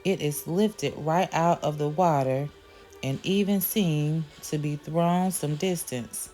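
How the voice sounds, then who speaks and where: A woman reads a storybook aloud at an unhurried, deliberate pace, her tone warm and mellow and pitched on the low side. She tells it with careful expression, and soft music plays faintly behind her. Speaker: children's book reader and channel host.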